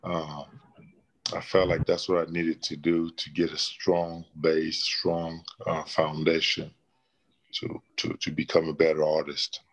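A man's voice: a bass voice, level tone, a little slow, low-quality recording. A middle-aged man from the DR Congo, a painter and former basketball player, answering interview questions thoughtfully from a small room, with many restarts. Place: small plain room indoors.

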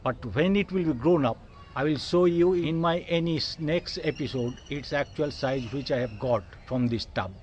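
A man's voice: a little high, moderate pace, lo-fi sound, slight background noise. A man in his sixties with a Bengali accent, earnestly hosting a gardening vlog in his rooftop garden and calling his viewers "dear friends.". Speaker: gardening channel host. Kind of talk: casual gardening vlog tour.

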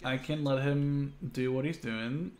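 A man's voice: medium pitch, steady tone, unhurried. A man playing a video game and bantering casually with friends, swearing freely and given to exclaiming. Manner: profane, exclamatory.

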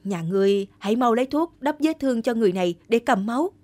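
A woman voicing a stately older male general in an animated folktale, speaking formally with measured authority. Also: on the high side. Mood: grave, approving.

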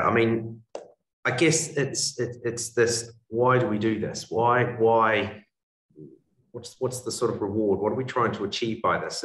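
A man's voice: fairly low pitch, a bit bright. A man with a New Zealand accent speaking as a guest on a video call, explaining his work. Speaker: college executive, guest speaker. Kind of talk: explanatory webinar talk. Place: indoor room, on a video call. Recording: roomy, distant video-call microphone.

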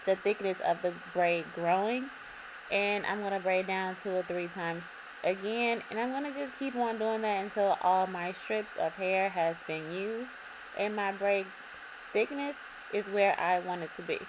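A young woman with a slightly raspy voice, calmly talking viewers through a hair braiding tutorial in her bedroom. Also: mid-range voice, measured pace. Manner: relaxed, repetitive instructions addressing viewers. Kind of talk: step-by-step tutorial narration. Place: bedroom, indoors. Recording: audible background noise.

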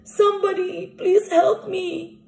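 Lady doing a fretful storybook croc, dramatic n drawn out, high voice, roomy echo. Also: steady tone, slow pace, vocal fry, a little mellow, full character voice. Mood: panicked. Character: excitable, fretful watermelon-loving young male crocodile. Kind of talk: picture-book character reading. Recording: echoey room recording.

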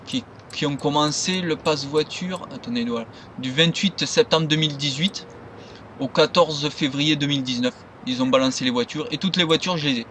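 A man's voice: medium pitch, a bit bright. A man giving casual, unscripted game commentary, rambling and stumbling over his words. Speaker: gaming video creator. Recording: noisy home recording.

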